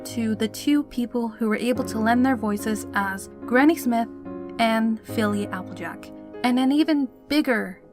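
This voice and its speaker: A woman with a fairly high voice addresses her listeners as a channel host. She is chatty and sincere, in an informal sign-off style, with plucked guitar music clearly audible behind her. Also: measured pace. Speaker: channel host and fan fiction reader. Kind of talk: informal scripted outro to listeners.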